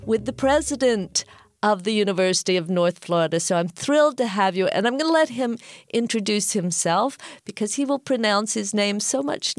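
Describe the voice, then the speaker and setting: A woman hosting an interview, asking questions in a friendly, slightly halting way. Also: medium pitch, no room sound, studio quality. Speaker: host.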